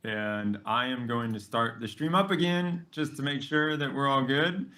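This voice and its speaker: A conference host breaks in to explain a streaming problem. The speech is slow and unhurried, halting with small fillers, and comes from a phone. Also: mid-range voice, sharp tone. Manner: apologetic, hesitant.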